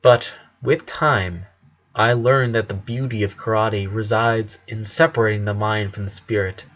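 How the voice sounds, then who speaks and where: Man playing a calm, low-voiced karate sensei. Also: muffled recording. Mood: calm, consoling. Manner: gentle, measured, wise counselling. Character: calm, understanding adult male karate sensei.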